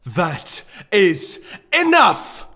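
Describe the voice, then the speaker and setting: A man's voice: high-pitched, huge pitch swings, extremely slow, pushed and bright, voice pushed up, exaggerated voice. A man voicing a regal, weary mare princess in a storybook reading, imperious and formal, with music behind. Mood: commanding anger.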